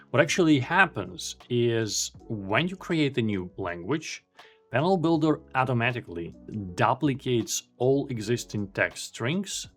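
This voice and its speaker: Male tutorial narrator, native Ukrainian speaker, calm and explanatory, mid-pitched voice, faint music bed. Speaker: software tutorial presenter. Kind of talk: screen-recorded software tutorial.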